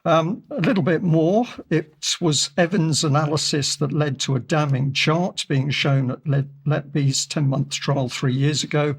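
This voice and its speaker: Croaky, husky studio commentator, measured delivery, fillers. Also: male voice, a little high. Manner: measured, deliberate, many fillers. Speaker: regular commentator. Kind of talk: discussion commentary reading from articles.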